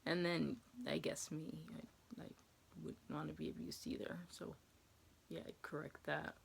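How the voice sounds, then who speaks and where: A woman's voice: deep voice, level tone, unhurried, vocal fry, slight background noise. A woman in her twenties with an American accent, chatting casually as she answers viewer questions, rambling and hesitant as she thinks aloud. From New England, USA. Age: 20s.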